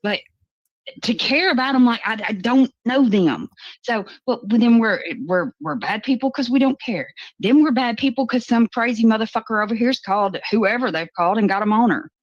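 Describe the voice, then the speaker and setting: A woman talks bluntly and profanely on a livestream, hammering her points home. Her voice sits a bit high. The audio is dull and dry, like a cheap lo-fi mic. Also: normal speed, dry recording. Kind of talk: heated livestream commentary.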